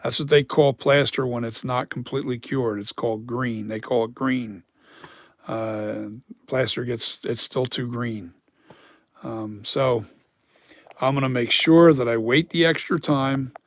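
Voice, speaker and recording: A man with a dry, hoarse voice, talking casually on a live stream about mask making, rambling and correcting himself as he explains. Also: medium pitch, fairly even intonation, a little slow, dry recording.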